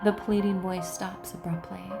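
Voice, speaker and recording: A woman's voice: medium pitch, little pitch movement, normal speed, creaky. A woman reading game text aloud as a gaming commentator and breaking into casual reactions. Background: loud game music.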